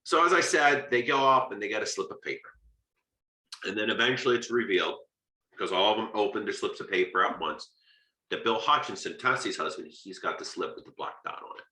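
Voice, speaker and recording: An American man lectures on literature in a lowish, crisp-edged, bright voice. He talks conversationally, leaning on key points and tossing in rhetorical questions. The room around him rings with noticeable echo. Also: lively intonation, a little fast. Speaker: literature lecturer and video host. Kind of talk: informal explanatory lecture.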